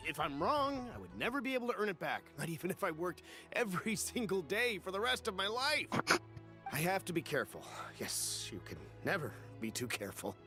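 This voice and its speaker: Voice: mid-range voice, very animated, fairly quick, pushed and bright. An actor playing a nervous, cautious customer in a video game, wordy, polite and easily flustered. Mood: worried, doubtful. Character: anxious, cautious, cash-strapped buyer, polite and flustered. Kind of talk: anime game voice acting. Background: game music.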